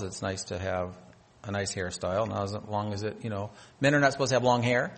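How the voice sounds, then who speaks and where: A man's voice: on the low side, fairly bright tone. Man preaching in a reverberant hall. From North Dakota. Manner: preaching with swells of emphasis and scripture reading. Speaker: pastor. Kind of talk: sermon.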